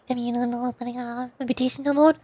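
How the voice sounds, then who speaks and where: A woman's voice: on the high side, flat delivery, fast, dark tone, slightly rough, dry recording. A woman chatting casually and playfully with her stream viewers as she plays a game, giggling and teasing as she goes. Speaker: VTuber livestream host.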